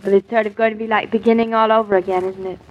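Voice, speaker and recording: A woman's voice: mid-range voice, level tone, rapid-fire, lightly acted. An actress playing a naive, playful young wife in a 1940s radio drama, with a girlish, guileless way of talking. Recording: dull, lo-fi 1940s radio broadcast.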